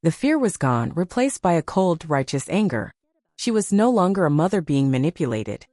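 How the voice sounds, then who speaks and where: A woman's voice: lowish voice, very animated, normal speed, mellow, no echo. A woman reading a dramatic scripted story as an audiobook narrator.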